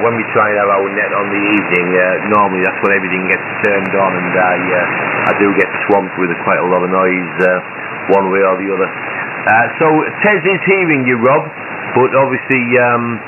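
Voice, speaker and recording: A man chatting casually as a ham radio operator, hesitant and repeating words, over a shortwave radio link. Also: lowish voice. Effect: shortwave radio transmission. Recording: tinny narrow-band radio, loud hiss and static.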